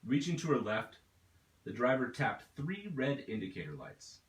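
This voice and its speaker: Man narrating a story, roomy. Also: mid-range voice. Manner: steady, measured storytelling. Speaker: author reading his own book. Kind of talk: audiobook narration.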